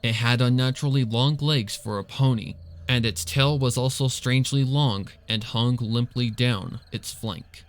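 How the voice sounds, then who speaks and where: A man's voice: medium pitch, unhurried, hardly any pauses. A man reading a suspenseful story as narrator, in a scripted dramatic storytelling style. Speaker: fan fiction reader and narrator.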